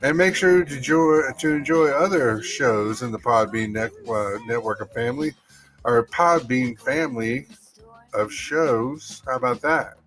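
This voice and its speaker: A man with a mid-pitched voice hosts a podcast. He talks in a casual, folksy manner, moving between reading aloud and chatting to his listeners. Music plays softly behind him. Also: a little slow, poor audio. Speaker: podcast host.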